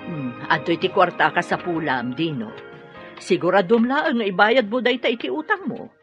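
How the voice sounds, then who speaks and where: A woman's voice: on the low side, animated, normal speed, over music, natural voice. A woman with a Filipino accent acting a nagging, responsible elder sister in an Ilocano radio drama. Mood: scolding. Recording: dry, dull lo-fi radio drama audio.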